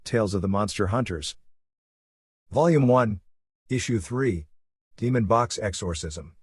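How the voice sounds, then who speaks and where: A man's voice: fairly low pitch, animated, a little slow, breathy edge, no room sound. A man announcing show titles from a script.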